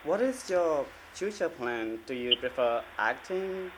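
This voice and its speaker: A man's voice: a little high, animated, unhurried, a bit bright, a little hiss or hum, low-quality recording. A man, a Khmer speaker, asking prepared interview questions.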